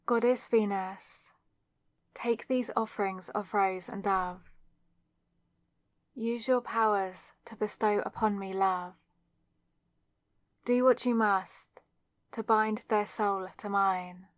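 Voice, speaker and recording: Girl voice actor doing a shy lovestruck young witch, asmr roleplay, talks to herself kinda slow, super dry close sound. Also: medium pitch, fairly even intonation, no room sound, natural voice. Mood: solemn incanting. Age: young adult. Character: nervous lovestruck young student witch, secretly crushing on classmate. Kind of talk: ASMR audio roleplay, scripted solo monologue.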